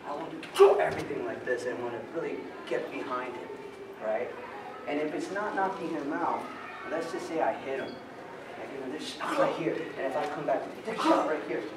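A man teaching a stage-fight workshop, demonstrating punches and elbows as he explains. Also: medium pitch. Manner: energetic, demonstrating moves while talking. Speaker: stunt fight instructor. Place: large carpeted event room indoors. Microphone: far camera. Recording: echoey room from far off, loud background noise.

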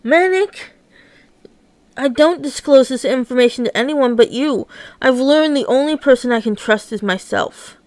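A woman's voice: high-pitched, normal speed, darkish tone, no room sound. A woman narrating a dramatised comic read-along, acting out every character and sound effect herself. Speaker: narrator reading a comic aloud.

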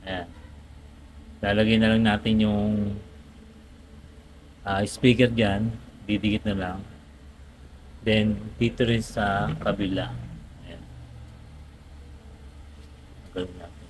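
A man with a fairly low voice talks through a hands-on tutorial in a casual way. He often halts and searches for the next word. There is clear background noise in the recording. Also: level tone. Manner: halting, searching for words. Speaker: vlogger giving a tutorial. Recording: clearly noisy background ambience.

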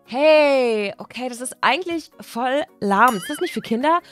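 A woman acting out toy-figure stories for children in expressive, casual youth slang. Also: sits high, measured pace, dry recording, faint music behind. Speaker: story channel creator voicing characters.

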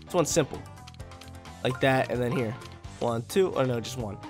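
A young man with a slightly hoarse voice, a gaming YouTuber giving hyped, slangy let's-play commentary into a headset mic, with faint game music behind. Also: mid-range voice, normal speed. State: slightly hoarse from a sore throat.

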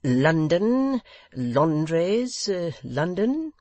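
A reader voicing a pompous, condescending English gentleman host who talks slowly, loudly and overenunciates as if to a deaf child. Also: high-pitched, big pitch swings, no room sound, dull audio, voice pushed up, exaggerated voice.

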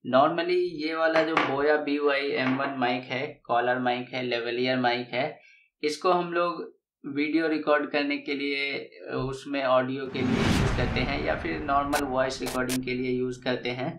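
A man's voice: mid-range voice, fairly even intonation, a little slow, heavy background noise. Young male tech host, lapel mic, patient step-by-step explainer, a bit roomy. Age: young adult. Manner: explains step by step, repeating key phrases. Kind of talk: casual tech tutorial. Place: small dim room indoors, seated at a desk. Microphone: lavalier clip mic.

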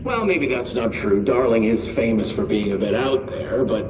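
Rambling, awkward officer over echoey intercom. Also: male voice, mid-range voice, lively intonation, normal speed, far from the mic. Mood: chatty, earnest. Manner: rambling, self-correcting, earnest. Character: chatty, awkward, nervous male security officer fond of his cat. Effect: intercom speaker. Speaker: game character on an intercom. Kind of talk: video game voice acting, rambling monologue. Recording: echoey intercom, noisy game ambience.

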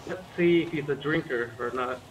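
Higher-voiced man muttering over noisy voice chat. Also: normal speed. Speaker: roleplay player acting as a medic. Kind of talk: casual improvised in-character game roleplay.

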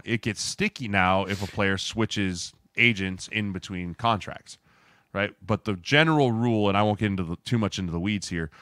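A man's voice: on the low side, sharp tone, clear, resonant tone, no room sound, clean studio sound. A man in casual sports podcast chat, explaining things by acting out quotes and often checking in with "right?". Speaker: podcast co-host, former player turned sports agent. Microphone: close podcast mic with headset.

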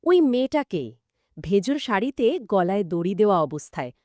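A woman's voice: mid-range voice, big pitch swings, moderate pace, darkish tone, dry recording, studio quality. A woman co-hosting a conversational story discussion, retelling events dramatically and asking inquisitive questions. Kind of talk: scripted-sounding conversational storytelling.

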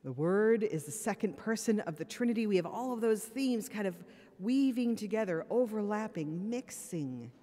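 A woman's voice: medium pitch, animated, normal speed, mellow. A woman priest preaching from a pulpit microphone in a church, earnest and plain-spoken with wry asides, and sounding a little tired. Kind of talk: sermon. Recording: long church reverb.

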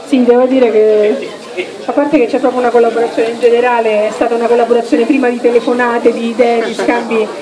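A woman's voice: a little high, little pitch movement, brisk, rough recording. A woman chef from Rome in her thirties, explaining each step of a dessert while cooking at a live demonstration on a handheld mic. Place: restaurant dining room with audience. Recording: chattering crowd behind.

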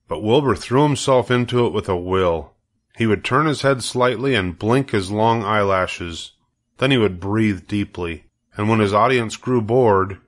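Low-voiced man reading a storybook. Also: a bit bright. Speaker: storybook reader. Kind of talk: audiobook narration of a children's story.

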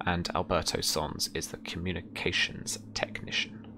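A man's voice: low voice, little pitch movement, normal speed, noisy background. A young man running a tabletop game, casually explaining rules and details as game master.

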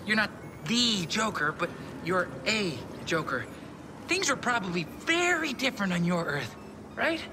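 An actress playing a nervous, rambling young ally in a video game, babbling and halting. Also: female voice, sing-song. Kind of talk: video game character acting. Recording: loud ambient machinery rumble behind.

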